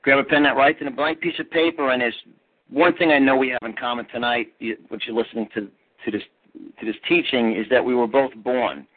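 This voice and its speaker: A man in his forties with a strong New York accent gives a punchy, energetic pep talk as a business coach. He is heard over a thin, tinny phone line, and his voice sits at an ordinary pitch. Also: fairly quick. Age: 40s. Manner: energetic, punchy motivational delivery. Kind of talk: motivational teleclass talk.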